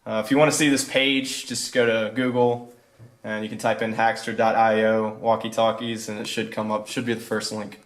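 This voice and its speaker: A man's voice: lowish voice, level tone, normal speed. A young man giving an informal walkthrough of a student project, talking in a hesitant, laid-back, off-the-cuff way. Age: young adult. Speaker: student presenter.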